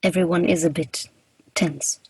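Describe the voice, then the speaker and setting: A woman's voice: fairly low pitch, fairly even intonation, measured pace, darkish tone, a little hiss or hum, close to an everyday voice. A woman acting in a radio play as an awkward, earnest female Grim Reaper who speaks in stiff, formal, literal phrases.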